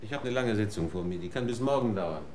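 Casting: Mann als bürokratischer Stellvertreter, tief und weich, unerschütterlich. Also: male voice, on the low side, expressive, fast talker, darkish tone, slight background noise, lightly acted. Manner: dry, bureaucratic. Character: unflappable male deputy official, matter-of-fact.

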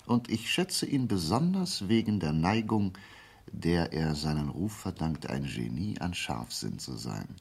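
An actor playing a shrewd, wry, self-assured detective in a German radio play, with a husky voice. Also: male voice, low-pitched, sing-song, a little slow, exaggerated voice. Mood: wry, satisfied.

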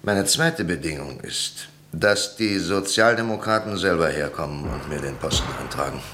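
An actor playing a sceptical, proud professor turned politician in a radio play, dry, ironic and probing. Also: male voice, rumbling low, animated, normal speed, bright, forceful tone, slight background noise, full character voice. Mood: firm. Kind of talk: German radio play acting.

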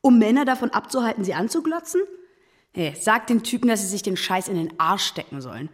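A woman's voice: mid-range voice, very animated, fairly quick, natural voice. A young woman playing an outspoken, scornful, combative teenage schoolgirl in a German radio drama. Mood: heated, scornful. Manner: blunt, combative, argumentative.

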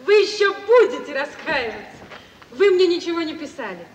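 A high, widely swooping female voice plays an arch society lady in an old radio play. She is mocking and self-possessed, heard in an echoing hall. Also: very animated, muffled recording. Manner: theatrical, arch, drawn-out ironic delivery. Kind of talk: 1950s radio play stage acting. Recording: echoey distant old radio recording, faint noise.

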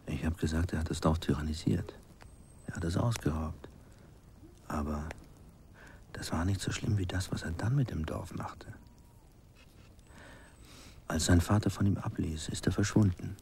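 An actor playing a grim villager recalling cruel childhood events, deliberate and hushed, in a radio play. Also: male voice, sits low, steady tone, slight background noise. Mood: brooding, hushed. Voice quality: gravelly, husky, breathy. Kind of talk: slow dramatic radio play storytelling.

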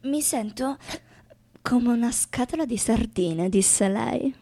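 Actress doing a jaded wry lover reading bleak poems, highish creaky voice, pauses a lot, radio play. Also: female voice, lively intonation, dark tone, no room sound, heavily acted. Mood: wry, needling. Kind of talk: theatrical poetry reading, radio theatre.

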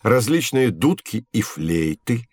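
A man's voice: mid-range voice, sing-song, slow, loud music behind the voice. An actor playing a patient, knowledgeable man teaching children, explaining things like a storyteller in scripted educational narration. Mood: patient, explanatory. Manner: explanatory, storytelling, clearly phrased.

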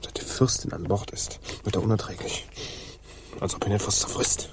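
Ein Schauspieler in einem deutschen Hörspiel, der einen grollenden, vertraulich murmelnden Seemann spielt. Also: male voice, fairly low pitch, steady tone, normal speed, noisy background, lightly acted. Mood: uneasy. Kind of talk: German radio drama acting.

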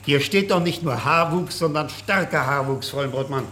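An actor playing a gruff, sharp-minded lead researcher with a brusque, emphatic manner in a radio drama. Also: male voice, a little high, brisk. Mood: irritated. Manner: brusque, swearing, emphatic deduction. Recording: radio play with faint background noise.

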